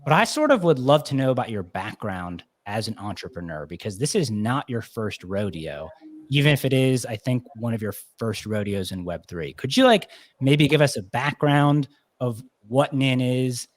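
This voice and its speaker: A man's voice: medium pitch, lively intonation, normal speed. A man hosting a podcast, chatty and enthusiastic, with many "like" fillers. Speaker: podcast host. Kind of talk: casual interview talk.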